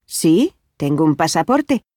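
An actress playing a friendly adult woman who works at a bank, speaking clearly and without hurry in language-course dialogues. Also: sits low, very animated, slow pace, mellow, no room sound, clean studio sound, exaggerated voice. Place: dry studio.

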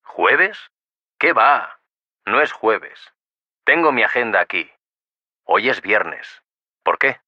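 A man's voice: lowish voice, big pitch swings, takes it slowly, long pauses, sharp tone, dry recording, highs cut off, exaggerated voice. An actor playing a curious, friendly young man in language-course dialogues, chatty and full of questions. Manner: chatty, inquisitive. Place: dry studio.

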